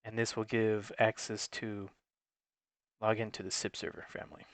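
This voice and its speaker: A man with a fairly low voice narrates a hands-on technical tutorial. He talks in a plain, instructional way, working through each step unscripted. The sound is dry, with very little room around it. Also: level tone, moderate pace, no room sound. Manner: matter-of-fact, instructional. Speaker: technical support presenter.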